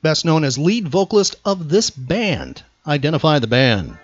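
A man's voice: a little high, sing-song, moderate pace, highs cut off. A man hosting a podcast trivia quiz, putting questions to a contestant. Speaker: podcast host and quizmaster.

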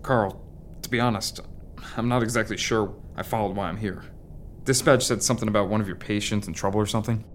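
Male actor for no-nonsense police detective, radio drama, quick talker, lowish pitch, dry and doubting. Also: fairly even intonation, a little hiss or hum, natural voice. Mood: businesslike. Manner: brisk, dry, blunt. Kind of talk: audio drama acting.

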